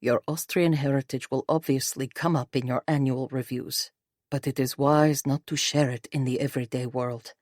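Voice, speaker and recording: A woman voicing a cautious, firm, quietly persuasive husband in an audiobook, measured and guarded. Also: very deep voice, steady tone, no echo, studio quality. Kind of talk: audiobook character voice.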